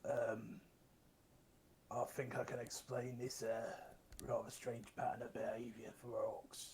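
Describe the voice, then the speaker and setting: Flat-voiced man playing a tabletop game. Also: medium pitch, measured pace, a little hiss or hum. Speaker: player. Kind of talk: casual tabletop play.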